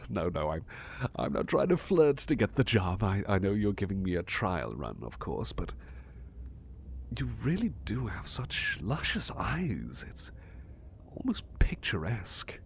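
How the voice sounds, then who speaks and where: Man acting as a low-voiced, animated, flustered butler. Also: a little slow, creaky, slight background noise, heavily acted. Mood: bashful, admiring. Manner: polite, formal, stammering, excitable. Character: eager, flustered, courteous male butler seeking work. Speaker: ASMR roleplay performer. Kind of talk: ASMR roleplay acting, personal attention.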